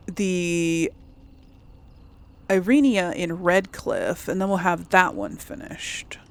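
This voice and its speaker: Female gamer, casual let's play commentary, unhurried, animated pitch, chatty, faint game noise behind. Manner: chatty, thinking aloud. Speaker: video game streamer, host.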